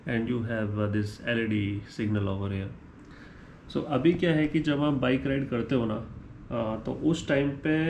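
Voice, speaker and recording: A man's voice: medium pitch, gravelly. Indian man reviewing gear, echoey room. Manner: switches between Hindi and English mid-sentence; ends points with a checking "right?". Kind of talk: unscripted product comparison review, explanatory. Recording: echoey room with faint background noise.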